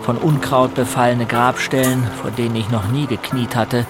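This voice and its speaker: An unsettled teenage boy played by a man tells his grim story in first person, as in a radio drama. Loud music runs underneath the mid-pitched narration. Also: fairly even intonation, moderate pace, natural voice. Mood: brooding.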